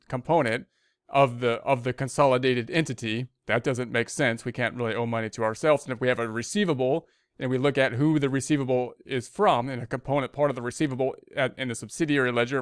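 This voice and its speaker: Male instructor, medium pitch, crisp and smooth, explains patiently through restatement in an informal lecture style. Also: no room sound, studio quality. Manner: repeats and rephrases step by step. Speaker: accounting instructor. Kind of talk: explanatory lecture, loosely scripted.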